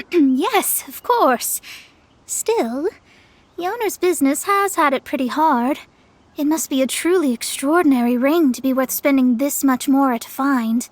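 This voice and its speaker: A woman's voice: high voice, unhurried, no room sound. A woman playing a proud, formal young astrologer with theatrical delivery in a video game. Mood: feigned nonchalance. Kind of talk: video game character acting. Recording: game dialogue with music behind.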